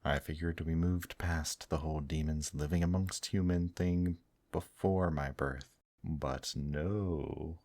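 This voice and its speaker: A man voicing a gentle, teasing male demon in an intimate ASMR roleplay, speaking softly and courteously to the listener. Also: rumbling low, fairly even intonation, no room sound, full character voice. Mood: wry, resigned. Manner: slow, soft, courteous, affectionate teasing with endearments. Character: gentle, teasing male demon brothel worker, tender and attentive.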